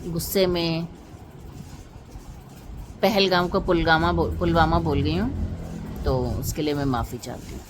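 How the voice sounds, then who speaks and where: Impassioned woman vlogger, noisy recording. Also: lowish voice. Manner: impassioned, rhetorical, repeats phrases for emphasis. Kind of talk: emotional political monologue to viewers.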